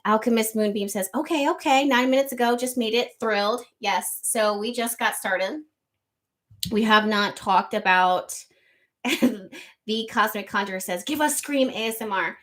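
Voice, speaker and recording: A woman hosting an astrology livestream, reading viewer comments aloud in a loose, rambling chat with a soft, slightly nasal voice, close to the microphone. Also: a little high, measured pace. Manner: chatty, rambling, lots of ums and likes. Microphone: close studio microphone.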